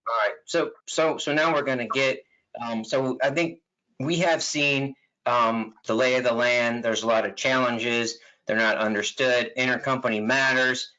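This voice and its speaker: Bright, forceful male webinar moderator, lo-fi audio. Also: mid-range voice, fairly even intonation, moderate pace. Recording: dull, band-limited webinar audio.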